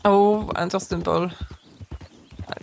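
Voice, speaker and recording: A woman's voice: mid-range voice, steady tone, measured pace, vocal fry, darkish tone, a little hiss or hum. A woman with a French accent, playing a frank and curious young French woman on horseback who speaks plainly in slightly broken English. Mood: curious, chatty. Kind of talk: role-play in a western game.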